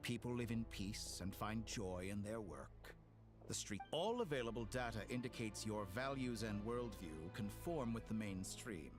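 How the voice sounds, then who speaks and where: An actor playing a smug, theatrical, calculating villain in a fantasy video game, silky and condescending. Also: male voice, lowish voice, natural voice. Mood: smug condescension. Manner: silky, condescending, deliberate provocation. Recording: game music behind the voice.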